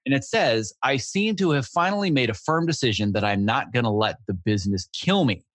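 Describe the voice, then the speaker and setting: A man's voice: mid-range voice, expressive, a little fast, a bit bright, dry recording. A man hosting a podcast, asking questions eagerly and often restarting his sentences. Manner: hesitant, restarts often, enthusiastic. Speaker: podcast host. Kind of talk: casual interview conversation.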